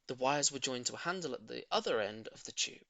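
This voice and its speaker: A man reading a children's story in an expressive, theatrical narrating style. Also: medium pitch, a little fast, creaky, dry recording. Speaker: audiobook reader.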